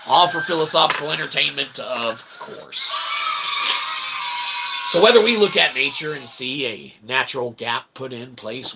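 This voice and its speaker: A man hosting a philosophy monologue, speaking in wordy pseudo-academic phrases with slangy asides and a gravelly, creaky voice. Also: mid-range voice, sharp tone, slight background noise, low-quality recording. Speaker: host of an independent philosophy show.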